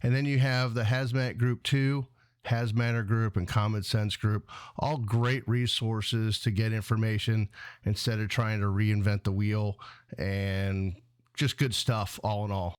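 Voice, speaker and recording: A man's voice: on the low side, level tone, a little slow, smooth. A middle-aged man hosting a show in casual chat, rambling a little with a jokey, hesitant delivery. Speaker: show host.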